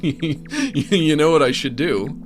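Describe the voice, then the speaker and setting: A man's voice: on the high side, big pitch swings, slow. A man with a general American accent, rambling goofily while streaming a video game, often laughing at his own jokes. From New England. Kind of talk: casual live game commentary. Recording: game music playing behind.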